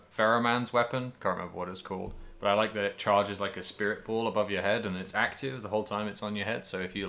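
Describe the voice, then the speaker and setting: Low-pitched man chatting casually. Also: bright, forceful tone. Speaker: podcast co-host. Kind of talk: casual chat about video games.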